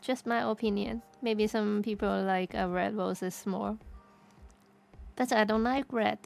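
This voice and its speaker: A woman from Hong Kong with a Cantonese accent, chatting casually on stream, halting and restarting as she searches for words. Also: medium pitch, fairly even intonation, gravelly, a little mellow, soft background music. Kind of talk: casual chat while drawing.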